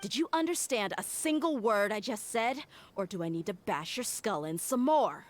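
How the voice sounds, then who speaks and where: A woman's voice: mid-range voice, animated, normal speed, a little hiss or hum, close to an everyday voice. An actress voicing a blunt, tough female desert mercenary who gives orders and makes threats in a video game. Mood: stern. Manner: commanding, threatening. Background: game music.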